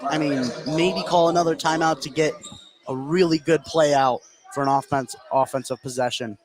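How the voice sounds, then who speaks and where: A man's voice: on the high side, fairly even intonation, normal speed, over music. A man giving conversational color commentary on a live basketball game. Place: courtside in a gymnasium. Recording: gym crowd noise behind voice. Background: game crowd.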